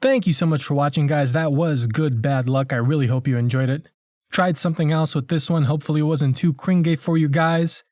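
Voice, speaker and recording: A man's voice: fairly high pitch, a little fast, darkish tone, no echo. A man speaking informally and a little self-deprecatingly as a video creator addressing his viewers in a casual outro.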